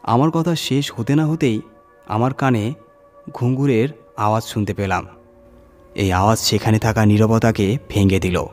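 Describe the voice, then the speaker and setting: A man's voice: fairly low pitch, unhurried, pauses often, darkish tone. Male horror story narrator over music. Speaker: story reader. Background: background music.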